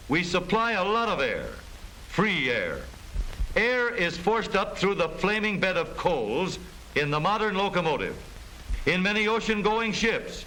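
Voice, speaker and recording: A man's voice: sits high, bright, forceful tone. An American man reading scripted narration for a 1930s educational film in a formal, emphatic, explanatory manner. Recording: noisy vintage 1930s film soundtrack.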